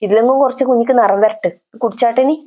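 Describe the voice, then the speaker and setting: A woman's voice: on the high side, very fast, dark tone, highs cut off, lightly acted. A woman playing a pushy, scolding older mother in a comedy sketch, speaking with a Kannur accent. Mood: pushy, nagging. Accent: Kannur, north Kerala. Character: nagging, colour-obsessed older mother of pregnant woman.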